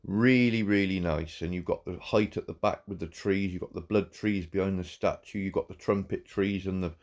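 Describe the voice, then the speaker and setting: A man, croaky from a cold, giving halting, warmly praising commentary on a farm game. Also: deep voice. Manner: halting, repeats words, warm praise. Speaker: farm game channel host. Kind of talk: casual gameplay commentary.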